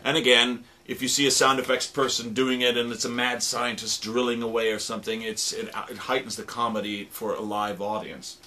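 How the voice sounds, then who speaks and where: A man giving an informal, halting and rambling demonstration of sound-effect instruments, as a radio drama producer. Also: mid-range voice, flat delivery, pushed and bright. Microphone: stereo microphone. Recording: noisy recording with stereo microphone.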